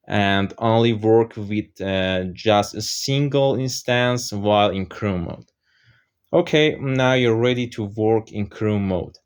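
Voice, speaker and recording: A man with a fairly low voice and Persian as his first language walks through a software tool over a screen recording. He explains each step steadily, often pausing on "uh". The voice-over has faint mouse clicks underneath. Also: smooth. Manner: steady explaining with frequent "uh" fillers. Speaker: software tutorial presenter.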